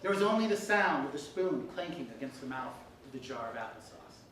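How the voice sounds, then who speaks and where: Animated live storyteller in an echoey room. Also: medium pitch, a little fast. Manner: theatrical, often breaking into laughter and asides. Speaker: storyteller performing comic fairytales. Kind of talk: live comedic storytelling, scripted narration. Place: live performance in a room with audience. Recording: echoey live room, distant mic, background noise.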